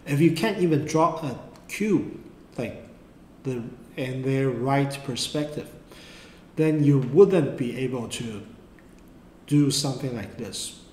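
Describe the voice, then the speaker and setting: A man whose first language is Mandarin, giving an unscripted drawing lesson in a halting way, with many fillers and restarts. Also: medium pitch, mellow. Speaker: art teacher. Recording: roomy echo, faint background noise.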